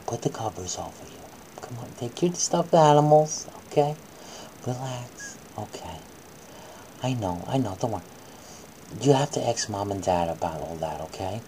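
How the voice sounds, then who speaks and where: A woman's voice: extremely low pitch, dark tone, a little hiss or hum, poor audio. A woman acting out a bedtime story for children, moving between dramatic reading and coaxing, soothing asides.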